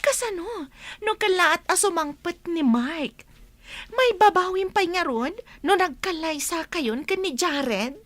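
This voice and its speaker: A woman's voice: extremely high pitch, animated, unhurried, a bit bright, no echo, full character voice. An actress playing a concerned young woman advising her friend in an Ilocano radio drama, earnest and questioning. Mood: questioning, surprised.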